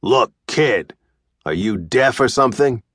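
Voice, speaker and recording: A man voicing a rude, gruff drifter in his thirties who talks in a slow, dismissive drawl. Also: medium pitch, animated, a bit bright, no echo, studio quality. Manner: drawling, dismissive street talk.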